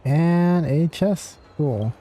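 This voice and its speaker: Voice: medium pitch, drawn-out words, warm tone. A game streamer giving casual gameplay commentary, thinking aloud. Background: game music.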